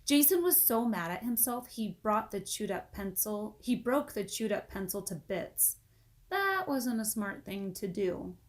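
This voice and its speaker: A woman's voice: mid-range voice, animated, measured pace. Woman reading a children's book. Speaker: storybook reader. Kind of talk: children's book read-aloud, expressive narration.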